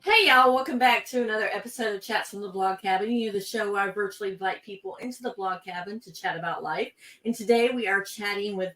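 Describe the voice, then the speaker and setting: A woman's voice: medium pitch, fast talker, hardly any pauses, a bit bright, faint music behind. A woman hosting a podcast interview in a friendly, chatty way. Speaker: podcast host. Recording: roomy, distant from mic.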